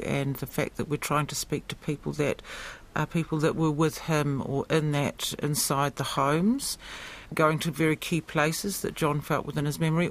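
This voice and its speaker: A man speaking as a theatre director in an interview, reflective, searching for phrases in a halting way. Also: fairly high pitch.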